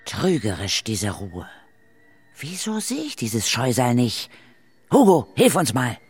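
A seasoned female ghost hunter in a children's radio play. She is composed and deliberate, her intonation lively, with music playing behind her. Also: a bass voice, husky, no echo, heavily acted. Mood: wary. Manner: deliberate, tactfully explanatory.

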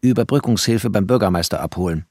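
An actor playing a bitter, bookish refugee who narrates a literary radio play in a sardonic, clipped manner. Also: male voice, lowish voice, fast, darkish tone, slight background noise, lightly acted. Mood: wry, brooding.

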